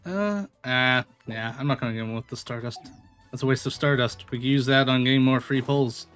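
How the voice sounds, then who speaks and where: A man's voice: mid-range voice, fairly quick, a bit bright, soft background music. A man giving casual, playful commentary as he streams a video game, often exclaiming and talking to the characters.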